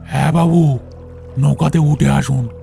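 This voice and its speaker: Gravelly old ghostly boatman, acted by a man. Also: a little high, steady tone, measured pace, mellow, soft background music. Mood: humble, cryptic. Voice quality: creaky, gravelly. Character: eerie old ghostly village boatman, humbly cryptic. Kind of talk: audio horror story acting.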